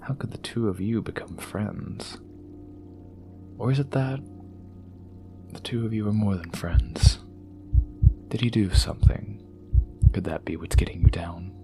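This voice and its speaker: An actor playing a secretive young man who claims to be the hero, speaking softly and insinuatingly in an audio drama. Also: lowish voice, unhurried, vocal fry, pauses often, music in the background. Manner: soft, insinuating, confiding.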